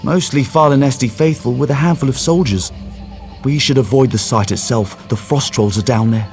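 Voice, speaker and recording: A male actor playing a skeptical, scholarly guide in a fantasy game, explaining in a measured, wry way. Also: mid-range voice, a little mellow, faint music behind, natural voice. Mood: matter-of-fact, wry. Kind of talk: video game quest dialogue.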